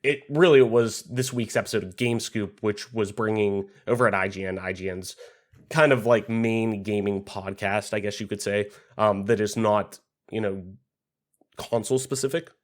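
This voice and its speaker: A man with a somewhat low voice, a little raspy from a cold, hosting a casual gaming podcast. He rambles loosely, swears freely and fills his talk with ums and likes. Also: steady tone, normal speed. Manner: loose, rambling and profane, many fillers. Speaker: podcast host.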